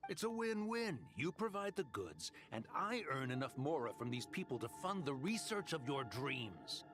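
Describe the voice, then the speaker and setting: Actor as a cocky mercenary hustler, swinging delivery. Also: male voice, medium pitch, big pitch swings, normal speed, lightly acted. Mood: slick, persuasive. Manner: persuasive, cocky, casual slangy drawl of a salesman. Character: smooth-talking, ruthless desert mercenary arms dealer, greedy man. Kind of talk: video game voice acting. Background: game music.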